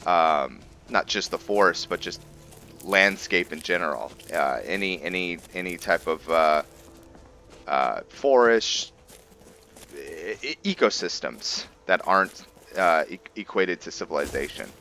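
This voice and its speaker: A man giving casual gameplay commentary and roleplay storytelling, haltingly thinking aloud as he goes. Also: low voice, expressive, sharp tone. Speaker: gaming video host and roleplayer.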